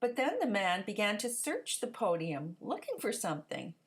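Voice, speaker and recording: A woman narrating a children's story aloud in an expressive, theatrical storytelling manner. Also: medium pitch, moderate pace.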